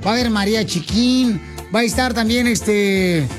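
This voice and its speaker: High-voiced joking Mexican radio host. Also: male voice, moderate pace. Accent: Mexican Spanish. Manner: joking, playful, high-pitched. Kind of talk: casual radio banter. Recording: music playing behind.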